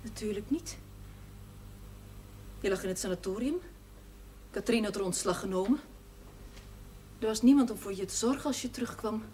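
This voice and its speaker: An actress playing a self-sacrificing priest's housekeeper in a television drama, earnest, plain-spoken and resigned. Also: female voice, medium pitch, moderate pace, long pauses, warm tone, natural voice. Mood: subdued, regretful. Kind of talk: naturalistic television drama acting. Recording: noisy old television soundtrack.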